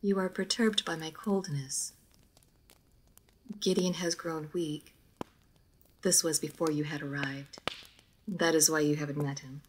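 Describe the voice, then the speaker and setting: A woman voicing a formal, guarded, melancholy aristocratic vampire in a roleplay audio drama, speaking in measured phrases to the listener. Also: sits low, fairly even intonation, slight background noise, rough recording. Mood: somber, formal.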